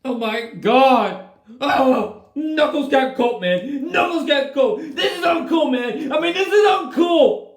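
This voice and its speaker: A man's voice: very high-pitched, lively intonation, slow, full character voice. Male performer: a hot-tempered critter stuck as a duck, rants and wails in a roomy space. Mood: outraged. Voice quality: shouty, whiny, grumbling. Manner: dramatic, wails and complains. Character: hot-headed male echidna turned into a duck. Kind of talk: playful homemade toy play. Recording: roomy echoey room.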